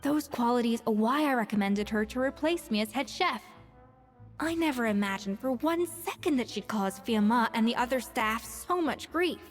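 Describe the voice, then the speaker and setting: A proud female chef, voiced by a woman, speaks with calm, measured confidence, in a slightly high register. Her lines carry a long reverb tail, with faint game music underneath. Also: close to an everyday voice. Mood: wistful. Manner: self-assured, measured, turns wistful when alone. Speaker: head chef. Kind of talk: video game dialogue.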